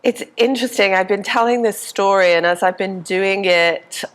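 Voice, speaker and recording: A woman's voice: medium pitch, normal speed, low-quality recording. A middle-aged woman from England with a Liverpool accent and a head cold, openly and reflectively telling her life story as an interview guest. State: has a cold, congested. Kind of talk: casual interview conversation.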